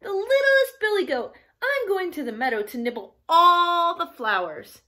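Woman doing a tiny, squeaky, high baby billy goat. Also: sing-song, moderate pace, bright, forceful tone, heavily acted. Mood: innocent, bright. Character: tiny young male billy goat, timid, squeaky, pleading. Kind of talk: animated children's storybook reading.

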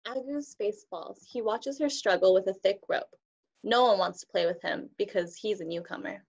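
A woman's voice: mid-range voice, moderate pace, gravelly. A young woman teacher reading a children's picture book aloud on a video call. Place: indoors, on a group video call. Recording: dull, muffled video call audio.

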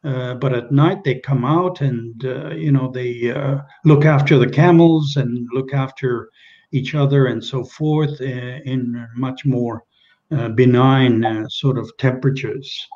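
A man's voice: medium pitch, steady tone, a little slow, warm tone, lo-fi sound. An older man from Kenya whose first language is Czech, speaking as a guest on a video call interview and trailing off into many hesitations and restarts. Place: small indoor room at home. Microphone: webcam on a video call.

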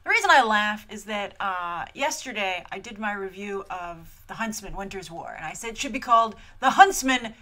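A woman in her thirties hosting a casual trailer reaction, animated and chatty, laughing often, filmed on a webcam in a small room. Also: medium pitch, normal speed, few pauses. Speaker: movie trailer reviewer host.

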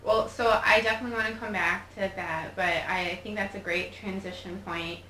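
A woman host leading a studio interview, encouraging her guests with frequent affirmations. Her first language was Polish. Her voice is bright and crisp, in a roomy room with faint hiss. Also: mid-range voice, fairly even intonation, brisk. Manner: warm, affirming with frequent mm-hmms. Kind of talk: interview hosting.

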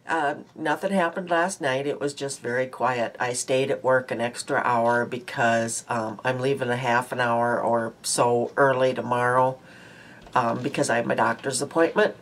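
A woman's voice: very deep voice, fairly even intonation, moderate pace. A woman in her sixties with a stuffy nose, chatting casually to a webcam in a small room at home about her makeup and her day, rambling with many ums and uhs. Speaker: beauty vlogger. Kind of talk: casual daily makeup chat. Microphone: webcam at close range.

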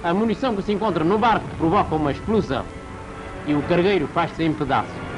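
A man with a slightly high voice narrates a 1940s wartime newsreel. He delivers the script formally, in a declamatory announcer's style. Music plays clearly behind him on the old film soundtrack. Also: fast, poor audio.

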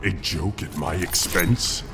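An actor playing a proud, regal warrior emperor in a video game, speaking in formal, solemn, menacing lines. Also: male voice, deep voice, fairly even intonation, slow, gravelly, music in the background, full character voice. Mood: stern, threatening. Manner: formal, solemn, stately threats. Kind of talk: video game character dialogue.

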